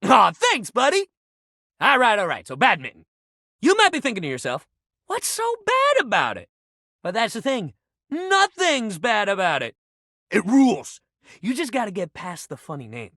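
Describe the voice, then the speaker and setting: A man's voice: sits high, huge pitch swings, moderate pace, pushed and bright, no echo, studio quality, heavily acted. A man voicing a sporty young guy in a video game, an upbeat badminton lover who talks in slangy, buddy-like coach pep talk. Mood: excited, encouraging. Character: upbeat, sporty young badminton-loving dude, animated shuttlecock. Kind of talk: video game character voice acting.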